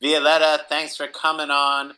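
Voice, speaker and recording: A man's voice: on the high side, completely flat delivery, moderate pace, pushed and bright. A man hosting a podcast interview in an enthusiastic, encouraging, hype-man manner. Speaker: podcast host.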